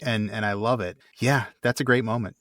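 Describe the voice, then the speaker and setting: A man's voice: on the low side, steady tone, a little fast, fairly bright tone. A man co-hosting a podcast, chatting casually and with enthusiasm. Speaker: podcast co-host. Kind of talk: casual chat.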